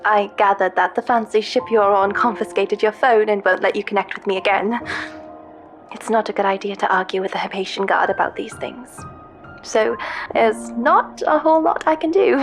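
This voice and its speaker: A woman's voice: mid-range voice, moderate pace, lightly acted. An actress playing a caring female ship intelligence in a sci-fi audio drama, talking in an intimate, hesitant, conversational way as if leaving a personal message. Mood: gentle reassurance. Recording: background music under the voice. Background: music.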